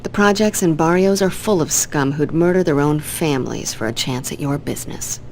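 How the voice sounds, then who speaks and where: A woman's voice: deep voice, normal speed, a little mellow, slight background noise. A woman playing a haughty, ruthless crime heiress in a game cutscene, imperious, cutting and contemptuous. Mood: contemptuous, cold. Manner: imperious, foul-mouthed, cutting. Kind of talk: dramatic video game cutscene acting. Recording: background music under the voice.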